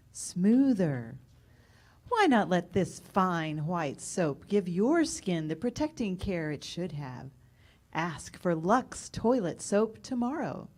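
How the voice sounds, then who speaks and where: A woman's voice: on the low side, darkish tone. A woman reading a scripted advertisement as a 1940s style radio announcer.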